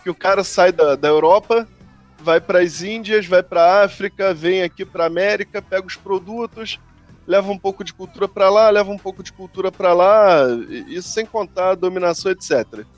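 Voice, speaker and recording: A man's voice: sits high, moderate pace, dull audio, faint music behind. A man with a Brazilian Portuguese accent, co-hosting a casual podcast and explaining his views with long, reflective examples. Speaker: podcast co-host.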